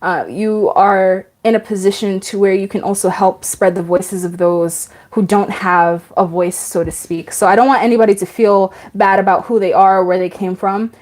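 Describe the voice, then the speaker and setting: A woman's voice: medium pitch, fairly even intonation, normal speed, hardly any pauses, a little mellow. A woman of about twenty-five hosting a casual live stream, chatting, laughing often and reading out viewer comments.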